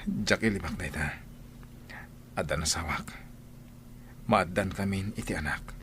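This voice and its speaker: A man's voice: low voice, a little slow, fairly bright tone, slight background noise. A man playing a guilty, apologetic married man in an audio drama, speaking hesitantly. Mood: uneasy.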